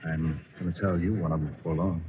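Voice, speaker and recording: A man's voice: low voice, steady tone, quick pace, lightly acted. An actor playing an enthusiastic, boyish young man with slangy, curious talk in an old-time American radio drama. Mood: excited. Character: eager, boyish young sweetheart, excitable and curious. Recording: tinny, noisy old radio recording.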